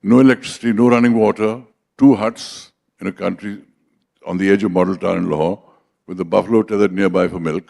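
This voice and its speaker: Older man, Indian English accent, mellow and dark-toned, a personal storyteller at a leadership conclave. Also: sits low, steady tone, fairly quick, gravelly. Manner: anecdotal, switches into Hindi for quoted dialogue. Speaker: conference speaker.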